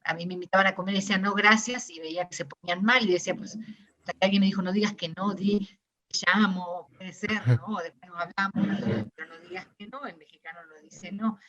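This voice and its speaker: A middle-aged Argentine woman with an Argentine Spanish accent, answering interview questions in a thoughtful, searching way with many pauses and restarts. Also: mid-range voice, a bit bright, muffled recording. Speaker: interviewee, discourse analysis scholar. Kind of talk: reflective conversational interview.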